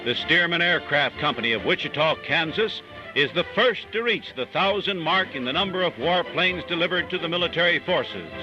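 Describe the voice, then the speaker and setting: 1940s newsreel man over loud music. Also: on the high side, expressive, normal speed. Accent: American. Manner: formal announcer delivery. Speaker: newsreel narrator. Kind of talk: 1940s newsreel scripted narration. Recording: muffled 1940s newsreel soundtrack.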